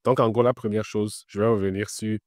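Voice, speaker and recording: A man's voice: lowish voice, steady tone, brisk, a little mellow, no room sound, clean studio sound. A man being interviewed, giving casual career advice in an explanatory way, repeating his points with frequent filler words. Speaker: interview guest working in Web3.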